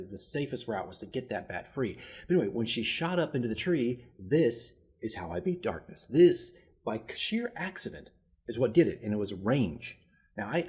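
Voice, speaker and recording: A man's voice: medium pitch, darkish tone, breathy edge. A man speaking casually as a dungeon master recapping a game, rambling and self-deprecating as he tells the story. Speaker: dungeon master keeping a campaign diary.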